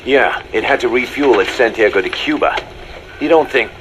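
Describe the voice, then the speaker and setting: An actor playing a pragmatic, hard-edged second-in-command of a mercenary army in a video game drama, businesslike and sardonic. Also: male voice, mid-range voice, normal speed, background noise, close to an everyday voice. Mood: alarmed, frustrated.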